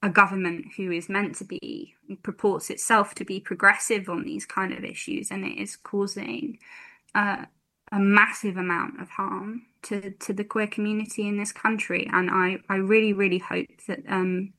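Bright-voiced young woman campaigner. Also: mid-range voice, little pitch movement. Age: 20s. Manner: thoughtful, many ums, slow measured phrasing. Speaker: campaigner and guest. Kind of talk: radio interview.

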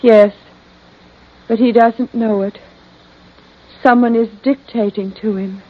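An actress playing a haunted young woman in a trance, speaking in halting short phrases in a melodramatic vintage radio style. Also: a little high, fairly even intonation. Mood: entranced, haunted. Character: entranced young woman clairvoyant, haunted, fateful. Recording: dull old radio recording.

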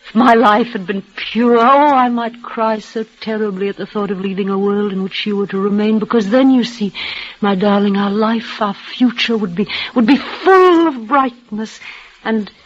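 An actress playing a frail, dying young woman, tender and devoted, speaking in a breathless, halting way with soft repeats. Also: mid-range voice, natural voice. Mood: resigned, tender. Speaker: lead actress. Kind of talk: 1930s radio drama acting. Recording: muffled old radio broadcast.

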